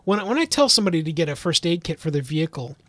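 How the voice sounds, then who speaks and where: Emphatic man with a higher-pitched voice. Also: very animated, rapid-fire, no room sound. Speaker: co-host. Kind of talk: conversational advice and commentary.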